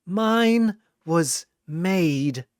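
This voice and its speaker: A man voicing a haughty, stony goddess queen who declaims slowly in a fantasy audio battle. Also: sits high, lively intonation, clean tone, dry recording, clean studio sound, voice pushed up, exaggerated voice. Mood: cold contempt.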